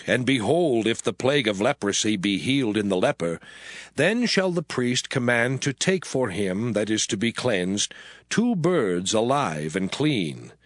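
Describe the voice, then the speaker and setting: Man, scripture narration, solemn, measured, very varied intonation, music behind. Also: medium pitch. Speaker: audio Bible narrator. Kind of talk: dramatized scripture reading. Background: background music.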